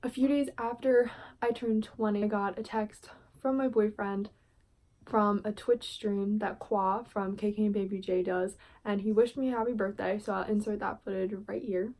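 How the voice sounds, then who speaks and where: Chatty young woman vlogging, mellow tone. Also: mid-range voice, steady tone, normal speed. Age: 20s. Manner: chatty, fillers like "like". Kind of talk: casual vlog recap.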